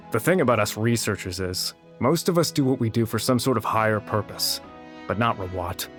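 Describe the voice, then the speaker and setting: A man's voice: lowish voice, lively intonation, moderate pace, fairly bright tone, soft background music. An actor playing a frank, opinionated and disgruntled male researcher in a video game. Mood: bitter, dismissive. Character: male researcher, high-minded, blunt and disgruntled.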